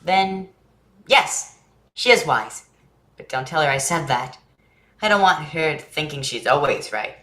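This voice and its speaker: A woman's voice: low voice, lively intonation, unhurried, pauses often, a bit bright. Woman playing an eager, guileless young boy, echoey. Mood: chatty. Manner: earnest, guileless, full of questions. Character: naive, eager, chatty young boy who loves jokes. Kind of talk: staged play reading.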